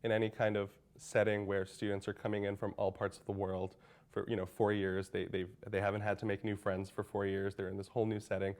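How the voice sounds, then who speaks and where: A man's voice: on the low side, little pitch movement, brisk, a little mellow. A young man, a college senior, giving earnest interview answers with frequent restarts and fillers. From United States. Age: young adult.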